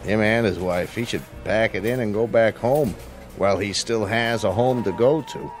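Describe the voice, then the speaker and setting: An actor playing an easygoing, sympathetic casino employee in a radio drama, talking in a folksy, colloquial way. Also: male voice, fairly low pitch, moderate pace, music in the background, natural voice. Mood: amused, pitying.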